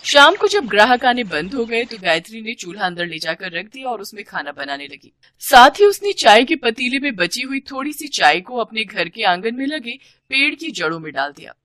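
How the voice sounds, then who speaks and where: A woman's voice: a little high, very animated, moderate pace, fairly bright tone, no echo. A woman storyteller reading scripted bedtime story narration.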